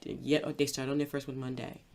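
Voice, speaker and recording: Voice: mid-range voice, flat delivery, vocal fry, darkish tone. Congested, chatty interview host. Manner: friendly, affirming, quick to laugh. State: stuffy nose. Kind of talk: casual interview chat.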